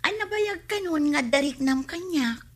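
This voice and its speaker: An actress playing a coy, forthright young village maiden in an Ilocano comedy radio drama, with an Ilocano accent. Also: female voice, high voice, lively intonation, unhurried. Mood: probing, coy.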